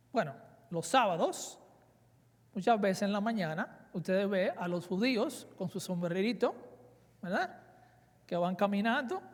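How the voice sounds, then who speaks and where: A man in his forties from the Dominican Republic with a Dominican Spanish accent, preaching earnestly at a conference and weaving in personal stories. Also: high-pitched. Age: 40s. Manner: earnest, emphatic, with personal anecdotes. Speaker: conference speaker. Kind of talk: sermon. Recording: reverberant hall.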